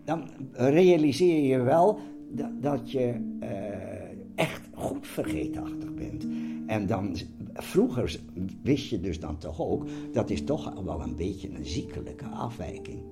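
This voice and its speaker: Halting elderly man with a varied melody. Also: medium pitch, sing-song. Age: 80s. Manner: halting, searching for words, often repeats words. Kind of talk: personal interview, reflective talk. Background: music.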